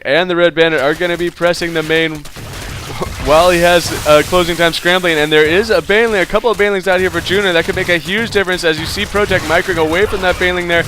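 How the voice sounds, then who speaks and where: A man with a congested, stuffed-up voice calls a strategy match on his own, chatty and loose with lots of "uh"s. His pitch sits right in the middle for a man, and his play-by-play runs on in quick bursts of commentary. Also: slight background noise. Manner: casual play-by-play, many fillers, rising excitement in fights. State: stuffed-up nose. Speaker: esports caster. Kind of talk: solo game commentary.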